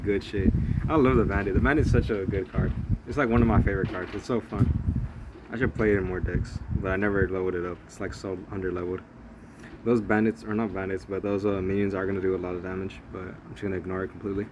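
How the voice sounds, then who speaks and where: A man's voice: low voice, a little mellow, a little hiss or hum, poor audio. A young man rambling through casual, profane play-by-play of a mobile strategy game as a gaming channel host. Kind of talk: casual gameplay commentary.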